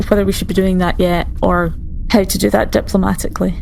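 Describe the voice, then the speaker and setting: A woman of medium pitch describes roleplay actions casually, thinking as she goes. Her voice has a marked vocal fry and her delivery halts, with faint noise in the recording. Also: level tone, a little mellow. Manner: thoughtful, halting delivery. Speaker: tabletop game player.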